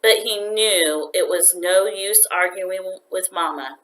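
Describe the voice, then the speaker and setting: A woman reading a children's story aloud like a teacher, with expressive, deliberate narration. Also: on the low side, rough recording.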